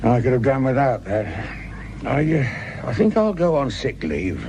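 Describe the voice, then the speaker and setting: An actor playing a wry, middle-aged British police detective sergeant in a radio drama, dry, colloquial and self-mocking. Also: male voice, mid-range voice, very animated, measured pace, vocal fry. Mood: grumbling. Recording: dull, noisy radio drama recording.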